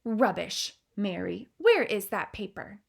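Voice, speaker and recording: A woman's voice: mid-range voice, sing-song, slow pace, gravelly, heavily acted. A woman reading a storybook aloud, voicing an elderly queen with refined, exclamatory speech. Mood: alarmed, refined. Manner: refined, exclamatory, posh phrasing. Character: elderly queen, grand, easily alarmed.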